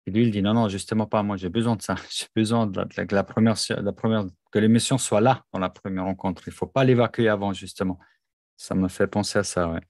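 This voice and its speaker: A man's voice: lowish voice, fairly even intonation, fast talker, darkish tone, no echo, clean studio sound. A man hosting an interview in French, a native German speaker, chatting and reacting to his guest. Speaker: podcast host. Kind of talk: conversational interview.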